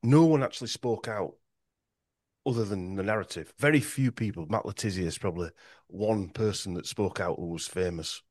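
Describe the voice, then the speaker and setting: A man's voice: fairly low pitch, normal speed, a little mellow, no echo. A man with a Yorkshire accent talking in a podcast conversation, rambling and restarting his sentences often. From Yorkshire, England. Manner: rambling with frequent restarts and stumbles.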